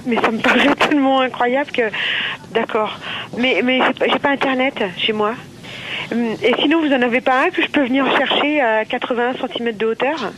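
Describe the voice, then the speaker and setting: A woman's voice: high voice, fairly quick, fairly bright tone. Female phone caller, polite, hesitant, natural unscripted talk, light noise on the line. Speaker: customer calling a shop, teacher. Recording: noisy telephone line.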